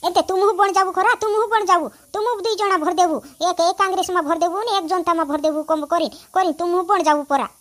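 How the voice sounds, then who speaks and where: A woman's voice: very high voice, brisk, hardly any pauses, fairly bright tone. A woman voicing an exasperated village woman in a cartoon comedy, chiding repetitively.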